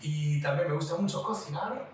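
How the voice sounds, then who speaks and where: A man's voice: fairly high pitch, normal speed. A man with an Italian accent chatting casually and jokily as a talkative podcast co-host. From Calabria, southern Italy. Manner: talkative, jokey. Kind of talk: casual chat. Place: living room at home. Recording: echoey room, distant mic, clear noise.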